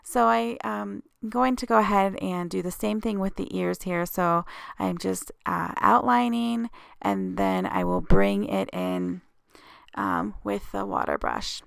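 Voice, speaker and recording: A woman's voice: medium pitch, lively intonation, a little slow, gravelly, smooth, no echo, clean studio sound. A woman with a slightly scratchy voice hosts a casual craft tutorial voice-over, explaining each step informally.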